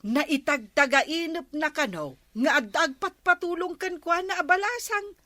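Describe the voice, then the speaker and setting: A woman's voice: extremely high pitch, lively intonation, moderate pace, sharp tone, no room sound, exaggerated voice. An actress playing a skeptical, bossy, older unmarried village councilwoman in a comedy radio drama.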